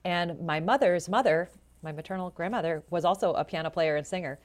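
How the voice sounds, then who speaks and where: A woman in her forties with a low voice, telling her story in a chatty, animated way as an interview guest. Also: fairly quick. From Florida, USA. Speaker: jazz vocalist and educator, guest.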